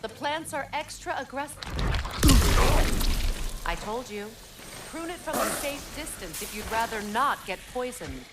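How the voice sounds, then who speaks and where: An actress playing a proud, wary goddess in a video game, speaking in a curt, formal and commanding manner. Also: female voice, fairly high pitch, expressive, measured pace, pauses often, very noisy. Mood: bitter, wary. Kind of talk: dramatic video game acting.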